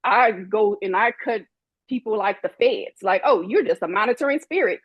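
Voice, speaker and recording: A woman's voice: mid-range voice, animated, normal speed, slightly rough, no echo, dull audio. A woman in her forties, a guest in an interview, speaking candidly in a sassy, emphatic way and acting out the people she quotes. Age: 40s.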